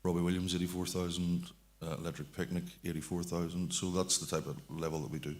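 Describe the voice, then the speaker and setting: A man's voice: low voice, level tone, quick pace, a little mellow. A man with a Northern Irish accent introducing himself as a security manager on a panel, matter-of-fact. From Northern Ireland.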